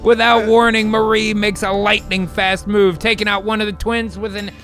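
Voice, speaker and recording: A man working as a voice actor, narrating an improvised comedic radio drama in a casual, animated way. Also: high-pitched, moderate pace, soft background music.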